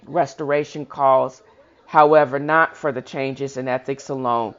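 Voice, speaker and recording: Dull lo-fi recording of a halting reader. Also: mid-range voice, steady tone. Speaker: host of a reading show. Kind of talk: reading a historical speech aloud with informal commentary. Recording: dull lo-fi sound.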